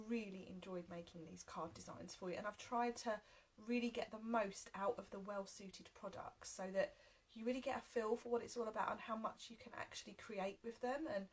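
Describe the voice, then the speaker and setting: A woman in her thirties with a heavy cold, leading a live card-making class from her home craft room. She talks in a chatty, upbeat way with plenty of "um"s. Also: mid-range voice. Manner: chatty and upbeat, frequent "um" fillers. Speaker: craft demonstrator. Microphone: webcam at desk, then overhead camera.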